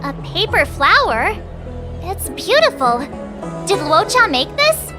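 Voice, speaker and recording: An actress playing a perky, curious young girl in a video game, with an inquisitive, expressive manner. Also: very high-pitched, slow pace, exaggerated voice. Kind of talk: animated video game voice acting. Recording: music under the voice.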